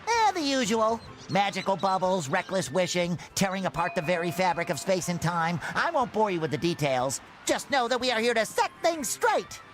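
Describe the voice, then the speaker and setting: A man's voice: high-pitched, sing-song, moderate pace, hardly any pauses, a bit bright, faint music behind. A man voicing a boyish, naive, endlessly optimistic cartoon sea sponge in a video game, eager and earnest. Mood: cheerful, matter-of-fact.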